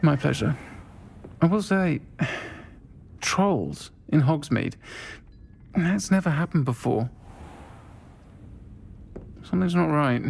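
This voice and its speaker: A man's voice: fairly high pitch, expressive, slow, gravelly, long pauses, a little mellow, full character voice. An actor with a man's voice playing an unflappable pub landlady in a game, assured and dry. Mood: friendly. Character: welcoming, unflappable pub landlady witch, protective and firm.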